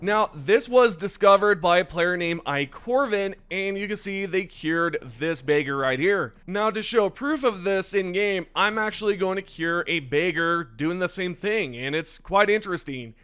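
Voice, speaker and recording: A young man hosting a gaming news video, chatty and enthusiastic, his voice slightly raspy today. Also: high voice, normal speed, few pauses, a bit bright. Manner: enthusiastic, chatty, addresses viewers directly. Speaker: gaming channel host. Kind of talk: casual gaming news commentary over gameplay.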